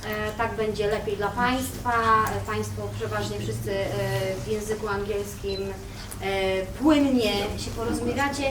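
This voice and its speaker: A woman's voice: medium pitch, fairly even intonation, normal speed. A woman who is a native Polish speaker with a Polish accent, formally and somewhat hesitantly moderating a press conference and acting as translator. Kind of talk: announcing to a press room. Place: indoor press conference room. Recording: echoey room, distant mic, background noise.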